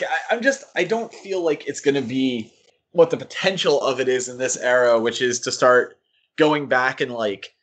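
A man's voice: medium pitch, bright, forceful tone. A man co-hosting a podcast, giving critical opinions in a casual discussion of comics. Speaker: podcast co-host.

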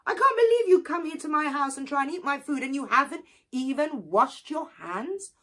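A woman voicing a sly, greedy male spider trickster who scolds and wheedles, with a husky voice. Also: very high voice, huge pitch swings, measured pace, voice pushed up, exaggerated voice. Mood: scolding. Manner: sharp, scolding, then wheedling and evasive.

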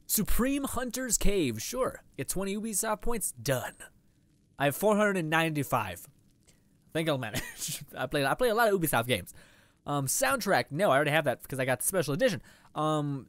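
An eighteen-year-old man giving rambling, casual let's play commentary, his voice a little raspy after eating. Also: fairly high pitch, big pitch swings, normal speed, a bit bright, no room sound. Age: teens. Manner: rambling, chatty, lots of fillers. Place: indoor room.

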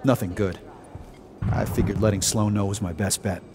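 A man's voice: lowish voice, steady tone, normal speed, over music, close to an everyday voice. An actor playing a blunt, sarcastic male colonist scout.

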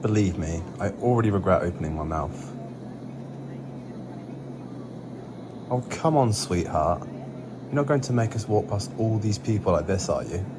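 A man's voice: fairly low pitch, animated, a little fast, close to an everyday voice. A man playing an exasperated, flustered boyfriend in an ASMR audio drama, weary and pleading with his sweetheart. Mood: wry, exasperated. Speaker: voice actor in an audio roleplay. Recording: clear cabin noise behind the voice. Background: vehicle and cabin ambience.

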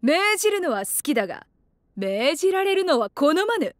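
A woman's voice: high voice, sing-song, normal speed, no echo, clean studio sound. An actress voicing a proud, commanding young fantasy queen who speaks imperiously in archaic diction.

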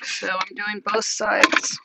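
A woman's voice: mid-range voice, monotone, takes it slowly, creaky, heavy background noise. A woman talking casually through a cooking demonstration in a small van kitchen, narrating each step as she works. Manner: chatty, talks herself through each step. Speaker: van life vlogger.

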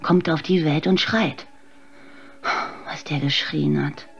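A woman's voice: low-pitched, steady tone, slow pace, creaky, highs cut off, faint music behind, heavily acted. An actress playing a bitter, exhausted mother and tavern waitress in a radio play, weary and sarcastic. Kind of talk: radio play acting, dramatic monologue.

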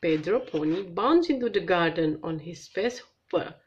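Woman reading aloud with lively, swooping pitch. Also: normal speed. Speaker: parent reading a picture book to a child. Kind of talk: animated read-aloud storytelling. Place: indoors at a table. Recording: dull home recording with noise.

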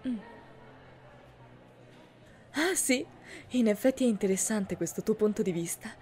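A woman playing a polite, haughty high-school girl from a wealthy family in an anime-style roleplay, speaking in formal, genteel phrases. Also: expressive, measured pace, darkish tone, natural voice. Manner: formal, genteel, carefully worded. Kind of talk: scripted anime fan-dub roleplay. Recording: music behind the voice.

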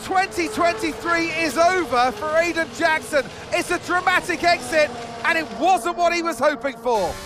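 A man playing an excitable TV motor racing commentator, calling the action with dramatic, urgent projection. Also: very high-pitched, normal speed. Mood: excited, dramatic. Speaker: sports commentator. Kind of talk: race commentary. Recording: music and engine noise behind.

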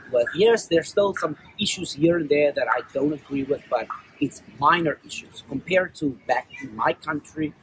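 A man's voice: on the high side, normal speed, rough recording. A man in his forties from South Africa, talking earnestly in a street interview, with many fillers and restarts, recorded on a clip-on mic outdoors. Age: 40s. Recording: outdoor street with faint traffic noise.

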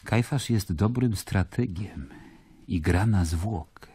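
An actor playing a stern, skeptical Roman governor in a radio play, with a husky voice. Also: male voice, low-pitched, slow, dark tone, no room sound, exaggerated voice. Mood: brooding, calculating. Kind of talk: dramatic radio play acting and narration.

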